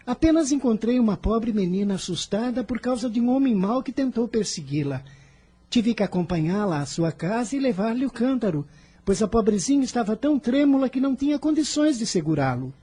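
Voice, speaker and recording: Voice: mid-range voice, very animated, warm tone, husky. An actor voicing an earnest, kind fifteen-year-old boy in a radio drama, speaking in a solemn, formal way. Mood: calm, explaining. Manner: solemn, measured, formal diction.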